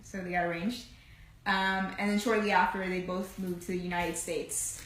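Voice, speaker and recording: A woman's voice: lowish voice, moderate pace. A woman in her twenties from Houston, answering interview questions casually and agreeably. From Houston, Texas, US. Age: 20s. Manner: relaxed, agreeable, many "yeah"s. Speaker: guest. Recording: echoey room.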